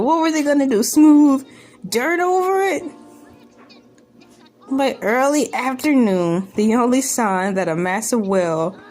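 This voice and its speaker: A woman reading news aloud as a humorous online creator, dropping in sarcastic, joking asides with theatrical, drawn-out emphasis. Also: fairly high pitch, a little mellow. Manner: sarcastic, joking asides between read lines. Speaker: online video creator reacting to a news article. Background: background music.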